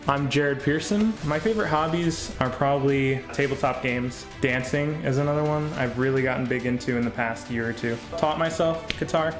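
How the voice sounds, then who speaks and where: A man's voice: mid-range voice, normal speed, hardly any pauses. A man giving a casual, jokey self-introduction as a tabletop game player. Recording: roomy echo under prominent music. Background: music.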